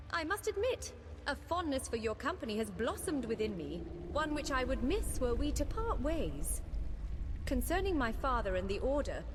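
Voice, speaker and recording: A courteous young female mage, acted by a woman, speaks as a loyal fantasy game companion in a fairly high voice. Her delivery is earnest, formal and measured, with faint noise on the recording. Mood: earnest, pleased. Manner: formal, eloquent, gentle, measured. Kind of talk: scripted fantasy game dialogue.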